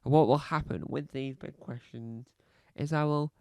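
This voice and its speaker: A man's voice: on the high side, steady tone, creaky, dark tone, dry recording, studio quality. A man playing a rambling self-important podcaster. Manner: rambling, halting, fond of repeating phrases. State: slight cough. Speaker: comedy podcast host character. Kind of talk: scripted comedy monologue.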